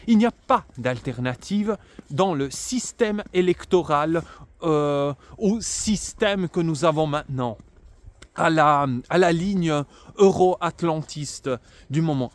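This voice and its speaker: A man's voice: fairly high pitch, no echo. A man giving an unscripted political monologue to camera, emphatic and sarcastic, with many fillers and restarts. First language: bilingual French and Italian. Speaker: political commentator.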